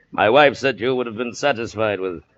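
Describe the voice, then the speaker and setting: Slow, low-voiced roguish pirate captain. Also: male voice, fairly bright tone. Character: roguish pirate captain, jovial, sly and conspiratorial. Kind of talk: old-time radio drama acting. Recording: muffled vintage radio recording.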